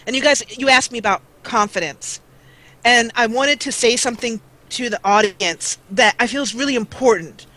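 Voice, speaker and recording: Bright-voiced middle-aged American woman. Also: a little high, low-quality recording. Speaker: guest, gaming video creator. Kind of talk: live talk show conversation.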